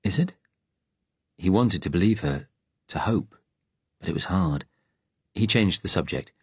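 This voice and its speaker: A man reading scripted audiobook narration in a measured, literary storytelling manner, close to the microphone. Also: low voice, animated, a little mellow, slightly rough, no room sound.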